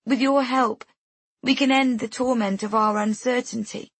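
A woman acts out a grieving mother giving a radio interview in an audiobook. The voice is raised well up in pitch, with an anguished, pleading delivery and a husky edge. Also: steady tone, moderate pace, dry recording. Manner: stripped bare, anguished appeal.

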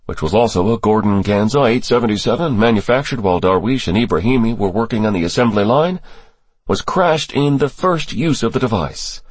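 Male narrator as assured intelligence operative, thriller audiobook, collegial, measured, raised pitch, dry sound. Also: lively intonation, breathy edge, no echo.

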